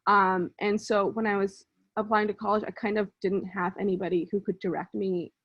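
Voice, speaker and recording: A woman's voice: medium pitch, flat delivery, moderate pace, slightly rough. Young woman, from Bangladesh, researcher, conversational storytelling, explanatory, slightly muffled lo-fi audio. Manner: conversational and explanatory, walks through ideas step by step. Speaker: research scientist telling her story. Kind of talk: personal storytelling talk.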